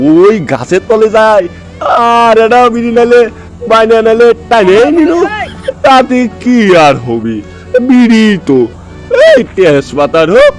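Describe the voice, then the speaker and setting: Man voicing a high-pitched cranky village father. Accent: Bogra, northern Bangladesh. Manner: grumbling, muttering, threatening. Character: scolding village father, bidi smoker, cranky and scheming. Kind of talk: comic cartoon dubbing in rural dialect.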